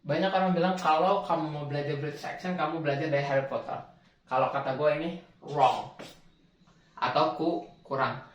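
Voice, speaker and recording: A man's voice: on the high side, fairly even intonation, brisk, pauses often, distant mic, highs cut off. A young man explaining accents in a casual, slangy tutorial, often correcting himself mid-word. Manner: chatty, slangy, self-correcting. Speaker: language tutor. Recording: echoey room, away from mic.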